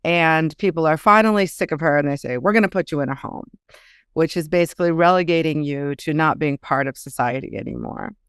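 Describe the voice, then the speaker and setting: A woman's voice: low-pitched, brisk, clean tone, no room sound, clean studio sound. A woman author speaking as a podcast guest, conversational and reflective, telling things in a storytelling way. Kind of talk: casual conversational interview.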